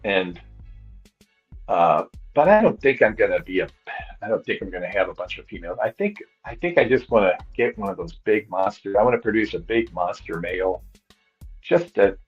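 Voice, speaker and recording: A man's voice: on the low side, lively intonation, normal speed, slightly rough, lo-fi sound, soft background music. A man in his sixties, a guest breeder, rambling and thinking aloud in casual conversation. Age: 60s.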